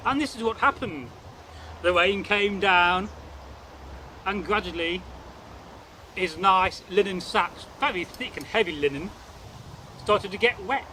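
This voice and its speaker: A man telling a folk tale as a storyteller, in a deliberate, repetitive way with old-fashioned phrasing. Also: sits high, expressive, pushed and bright, a little hiss or hum, poor audio. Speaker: channel host and storyteller.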